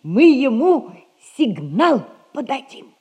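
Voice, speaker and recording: An actress playing a brisk, scheming old servant nanny in a classic radio comedy, bantering in folksy speech. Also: female voice, high-pitched, very animated, dark tone, husky, exaggerated voice. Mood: playful, conspiratorial.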